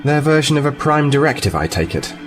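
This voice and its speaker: A man's voice: a little high, sing-song, fairly quick, over music. A man playing a sardonic starship crewman in a sci-fi audio drama. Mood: concerned.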